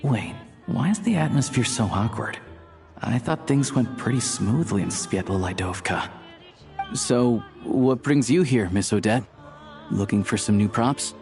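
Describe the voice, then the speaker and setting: A man's voice: medium pitch, expressive, measured pace, a little mellow, faint music behind. A man playing an easygoing, considerate young hunter in a video game, talking casually and earnestly. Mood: friendly, curious. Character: easygoing, considerate young male hunter doing odd jobs. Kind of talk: video game voice acting, conversational dialogue.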